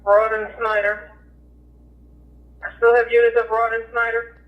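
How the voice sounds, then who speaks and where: A woman's voice: on the high side, little pitch movement, a little slow. A woman working as a police dispatcher, talking over police radio. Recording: tinny narrowband police scanner radio.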